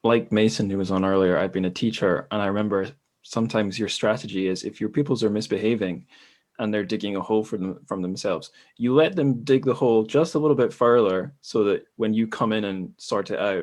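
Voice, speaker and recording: A man's voice: lowish voice, brisk, a little mellow. A man hosting a casual podcast discussion, talking in a reflective, anecdotal way. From a Western country. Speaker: podcast host.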